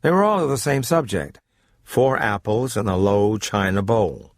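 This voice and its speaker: Low-voiced man narrating over music. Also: lively intonation, moderate pace, dry recording, clean studio sound. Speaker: narrator and presenter of radio stories. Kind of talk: scripted dramatic story narration.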